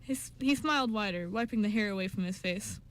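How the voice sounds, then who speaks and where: A woman's voice: medium pitch, fast. A woman reading scripted story narration for an amateur radio show, with an occasional stumble.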